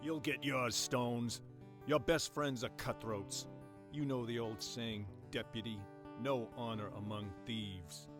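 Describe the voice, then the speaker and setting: A man playing a gruff, defiant family man in an audio drama, speaking in a blunt, stern, confrontational way. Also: mid-range voice, steady tone, takes it slowly, music in the background, lightly acted. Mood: angry, determined.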